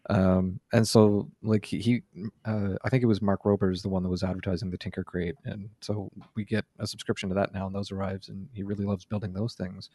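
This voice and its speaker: A man's voice: low-pitched, little pitch movement, brisk, few pauses, dark tone, breathy edge, no echo. A man chatting casually as a podcast co-host, hesitant, with many fillers and restarts. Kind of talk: casual chat.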